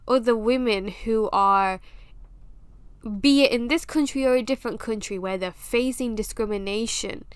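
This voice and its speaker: A woman's voice: a little high, fairly bright tone, clear, resonant tone, dry recording, clean studio sound. A young British woman with a British accent, hosting a podcast and talking candidly, with self-mocking humor, in a casual monologue. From England. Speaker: podcast host.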